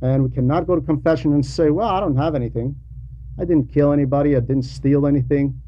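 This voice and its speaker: A man giving a sermon in a halting, explanatory and admonishing way, with conversational asides. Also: fairly high pitch, steady tone. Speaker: preacher reading a translated homily. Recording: dull lo-fi recording with faint noise.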